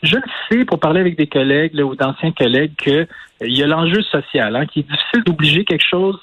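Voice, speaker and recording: A man with a Quebec French accent answers interview questions in a husky, somewhat raised voice. He weighs his words and hedges often, restarting sentences as he goes. The sound is dry and close, with no room around it. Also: fairly high pitch, animated, fairly bright tone, no room sound, highs cut off. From Portugal. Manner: measured, hedging, many filler restarts. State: recovering from illness. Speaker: interview guest, former political adviser. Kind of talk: phone-in news interview.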